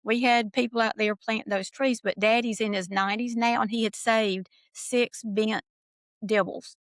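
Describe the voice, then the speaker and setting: A woman's voice: on the high side, steady tone, moderate pace, no echo. A middle-aged woman from Georgia with a Southern accent, chatting as a podcast guest in a folksy, storytelling way. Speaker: podcast guest, nursery researcher. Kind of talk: casual chat.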